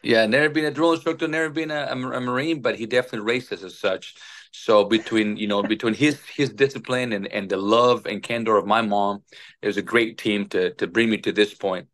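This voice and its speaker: Earnest man from Venezuela, lowish voice. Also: fairly quick, a bit bright. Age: 40s. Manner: polite, earnest, many fillers. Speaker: guest, retired Marine officer. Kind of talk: casual interview. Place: indoor room. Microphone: phone at arm's length.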